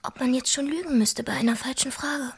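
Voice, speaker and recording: Smitten, anxious lover, highish and extremely breathy, whispery, intimate radio drama. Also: female voice, fairly quick, heavily acted. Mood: insecure, pleading. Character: smitten, anxious young female lover seeking reassurance. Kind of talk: intimate radio play dialogue.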